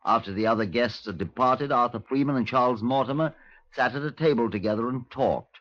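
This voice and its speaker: An actor playing a dry, authoritative middle-aged Scotland Yard inspector with a British accent in a 1950s radio drama. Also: male voice, medium pitch, brisk, a bit bright, lightly acted. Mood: measured, formal. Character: measured, wry, authoritative male Scotland Yard police inspector. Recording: dull, lo-fi 1950s radio recording.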